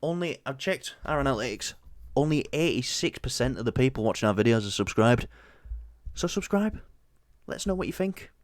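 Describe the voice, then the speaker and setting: A man's voice: medium pitch, animated, brisk, dry recording, clean studio sound. A young man with a Yorkshire accent co-hosting a movie review show, chatting casually with lots of fillers and restarts. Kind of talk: casual chat.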